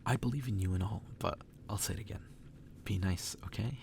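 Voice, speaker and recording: A man reading a story aloud in a hushed, breathy ASMR whisper. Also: a little fast, background noise. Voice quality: breathy whisper, gravelly low voice. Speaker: ASMR reader.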